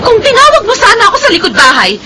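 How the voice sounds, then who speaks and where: An actress with a Filipino accent playing a caring, reproachful village older sister in an emotional radio drama. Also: female voice, very high-pitched, huge pitch swings, fast, over a noisy crowd, full character voice. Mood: reproachful, upset. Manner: pleading, emotional, scolding. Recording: noisy, busy background ambience.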